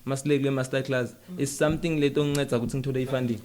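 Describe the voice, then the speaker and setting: South African man interviewing, medium pitch. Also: fairly even intonation, very fast, darkish tone. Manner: code-switches between South African vernacular and English. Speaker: interviewer, host. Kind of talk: casual conversational interview.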